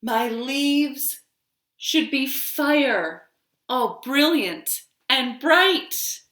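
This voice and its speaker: A woman voicing an expressive talking tree in a children's storybook, with drawn-out rhyming delivery. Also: sits high, pitched above the performer's own voice, exaggerated voice. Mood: frustrated, exasperated. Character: talking tree, expressive, cheerful then exasperated by autumn. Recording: roomy, echoey home recording.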